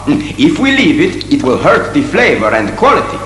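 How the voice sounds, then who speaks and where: A male actor as a genial winemaker, his voice fairly high and very animated. He explains eagerly in an echoey space, adding little tag questions and emphatic asides. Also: moderate pace, soft background music. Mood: proud, instructive. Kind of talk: audio drama acting.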